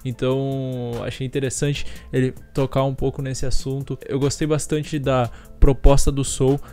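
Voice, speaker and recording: A man's voice: mid-range voice, little pitch movement, moderate pace, mellow, smooth. A man in his twenties with a Brazilian accent, reviewing music in slangy, informal speech into a close microphone at home. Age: 20s. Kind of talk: casual commentary. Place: small home bedroom studio. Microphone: close desk-mounted condenser microphone.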